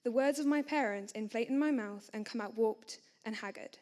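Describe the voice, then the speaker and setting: A woman from South Africa reciting her own poem calmly at a student event. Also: fairly high pitch, moderate pace. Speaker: student poet.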